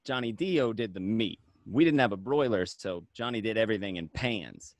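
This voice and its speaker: A man's voice: fairly low pitch, animated, normal speed, no echo. A man playing a wry, matter-of-fact mobster who narrates his prison memories conversationally in a dramatic script reading. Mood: wry reminiscing.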